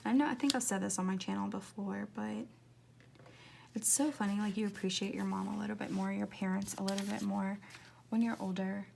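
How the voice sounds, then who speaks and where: A woman in her twenties chatting casually in a rambling, confiding way, laughing often and slipping into soft whispers as she talks through decorating her planner. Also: medium pitch, fairly even intonation, a little fast, gravelly, a little hiss or hum. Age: 20s. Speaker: planner vlogger.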